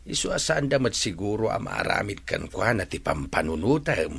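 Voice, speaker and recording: Man playing a gravelly, raspy older father. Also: on the low side, big pitch swings, fairly quick, fairly bright tone, exaggerated voice. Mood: doubtful. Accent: Ilocano. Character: calm, doubtful older village father. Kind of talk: Ilocano radio drama acting.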